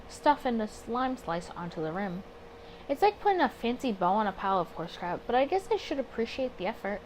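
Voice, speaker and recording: A woman with a mid-pitched voice commentates on a story game over audible background noise. She is chatty and expressive, moving between reading narration aloud and thinking through her reactions. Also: poor audio. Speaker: gaming video creator. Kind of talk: casual playthrough commentary mixed with reading story text aloud.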